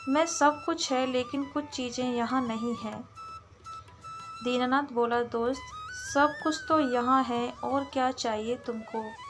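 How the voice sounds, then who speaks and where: Female storyteller, higher-pitched, very unhurried scripted narration over background music. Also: fairly bright tone. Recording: music behind the voice.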